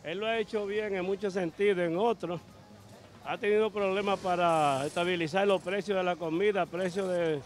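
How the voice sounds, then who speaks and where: A middle-aged man from the Dominican Republic, with a Dominican accent, giving a measured, explanatory opinion into a reporter's handheld mic on a city sidewalk. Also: high voice, fairly even intonation. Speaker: passerby interviewee.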